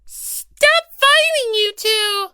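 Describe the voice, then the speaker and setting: A performer voicing a small, squeaky, anxious peacemaking creature in an audiobook, pleading in a cutesy way. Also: lively intonation, extremely slow, pushed and bright, slight background noise, exaggerated voice. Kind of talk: dramatic audiobook character dialogue.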